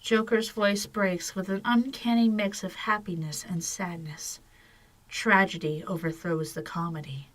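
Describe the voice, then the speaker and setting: A woman giving dramatic scripted narration for an audio drama, in a measured and solemn manner. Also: mid-range voice, fairly even intonation, fairly bright tone.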